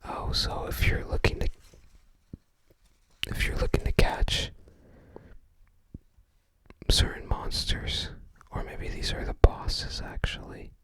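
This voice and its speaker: An ASMR host speaking in a breathy, unvoiced whisper, musing aloud in short, casual fragments while looking through a book. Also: slow pace, long pauses, rough recording.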